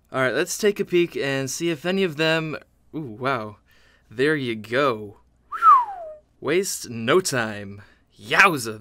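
An actor playing a mischievous, sneering haunter in a comedic audio drama, full of sarcastic muttered asides. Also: male voice, mid-range voice, bright, forceful tone. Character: sneering mischievous male haunter reading a scaring manual.

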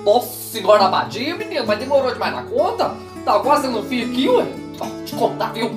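A woman playing a sharp-tongued country woman in a comic radio soap, scolding colloquially in a rural Brazilian accent. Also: fairly high pitch, sing-song, a little fast, music in the background. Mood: exasperated, grumbling. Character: sharp-tongued, grumbling rural women of a family.

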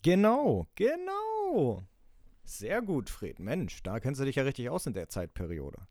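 Animated man quizzing his co-host. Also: mid-range voice, a little slow, no room sound, clean studio sound. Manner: lively, laughing, reads quotes aloud. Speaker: podcast co-host running a quiz. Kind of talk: casual podcast chat.

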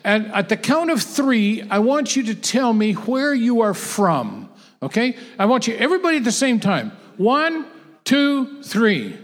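A man preaching a sermon to a gathered audience, emphatic and repetitive, with halting restarts. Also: very high-pitched, very animated. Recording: long hall reverb, voice off-mic.

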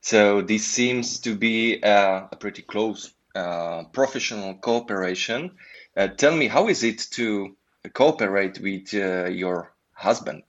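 Podcast host: male, unhurried, deep-ish, deliberate. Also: muffled recording. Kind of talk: interview questions.

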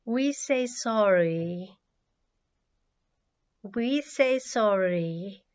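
A woman who is a native Japanese speaker, teaching English by reading out words and sentences with deliberate, measured enunciation for learners. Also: mid-range voice, lively intonation, a little mellow. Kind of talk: language drill, reading words and sentences twice. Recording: slightly dull, lo-fi audio.

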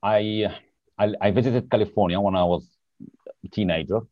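A man from Lebanon speaks in a very deep voice, pausing now and then to find the right word as he talks about his work. His voice sounds dry and close, through a dull, lo-fi remote line. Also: fairly even intonation, no room sound. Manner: searches for words, hesitant. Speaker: podcast guest. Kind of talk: casual interview chat.

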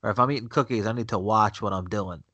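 A man's voice: fairly low pitch, fast talker, pushed and bright, no room sound. Blunt, stuffy-nosed man chatting. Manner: blunt, opinionated, repeats himself. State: stuffy nose from a cold. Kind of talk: casual chat. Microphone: remote call connection.

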